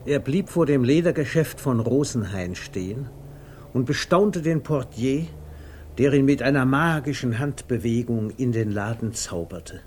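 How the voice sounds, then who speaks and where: A man narrating a literary 1950s radio play in a measured, deliberate, storytelling way. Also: medium pitch, animated, darkish tone. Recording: faintly noisy vintage radio recording.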